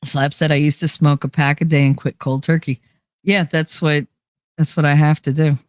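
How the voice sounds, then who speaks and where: A woman's voice: sits low, flat delivery, normal speed, no room sound. A young adult woman hosting a livestream, chatting casually and rambling, a little nasal from a cold, close to the microphone. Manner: rambling, chatty, laughs often. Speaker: livestream host. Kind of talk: casual chat reading live comments. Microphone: close desk microphone.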